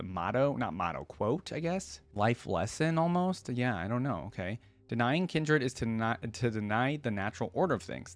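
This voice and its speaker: A man hosting a reaction video, switching between casual commentary and reading aloud. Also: medium pitch.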